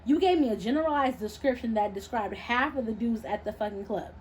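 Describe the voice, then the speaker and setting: Brash young heroine of an audio novel, acted by a woman: highish, mouthy, sarcastic, unapologetic, faint noise behind. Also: fairly quick, lightly acted, poor audio. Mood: irritated. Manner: sarcastic, profane, slangy. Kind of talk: expressive audiobook-style character dialogue.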